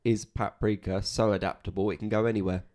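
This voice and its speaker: A man's voice: lowish voice, level tone, a little fast, darkish tone. A young man co-hosting a podcast, chatting casually with self-deprecating jokes. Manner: joking, self-deprecating banter. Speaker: podcast co-host. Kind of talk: casual chat.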